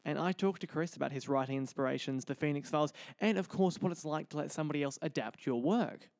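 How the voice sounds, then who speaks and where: Higher-pitched Australian man hosting a show. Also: fast, gravelly, a little mellow, no echo, studio quality. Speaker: podcast host. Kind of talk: scripted show introduction and interview.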